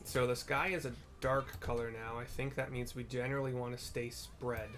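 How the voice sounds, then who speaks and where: A man's voice: mid-range voice, fairly even intonation, moderate pace, over music. A man giving casual, unscripted gameplay commentary, rambling and thinking aloud as he explains what to do.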